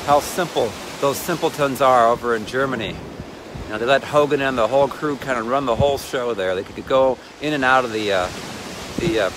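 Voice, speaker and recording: A middle-aged man rambling cheerfully into his phone at arm's length, drifting from one thought to the next. He sounds cold, standing outdoors by the water. Waves and gusts of wind are clearly heard behind his mid-pitched voice. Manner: chatty, wandering, self-mocking. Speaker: vlogger and ministry leader. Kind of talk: casual rambling selfie vlog. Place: outdoors on a windy lakeshore with waves. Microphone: phone held at arm's length. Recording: wind and surf noise on phone audio.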